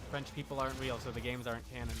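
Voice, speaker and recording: A man giving casual, deadpan joking live commentary while playing a video game. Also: medium pitch, very fast, over music. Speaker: game streamer. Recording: clear background noise.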